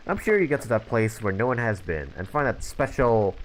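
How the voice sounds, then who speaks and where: A man's voice: medium pitch, very animated, brisk, a little hiss or hum, lightly acted, poor audio. A man voicing a free-spirited young adventurer girl in a video game, teasing and encouraging in an easygoing way. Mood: warm, encouraging.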